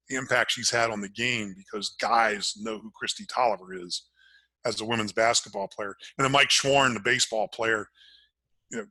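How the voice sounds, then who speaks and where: Bright, forceful older American man. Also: mid-range voice, dry recording, poor audio. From Maryland, United States. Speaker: sports announcer, podcast guest. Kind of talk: conversational sports interview.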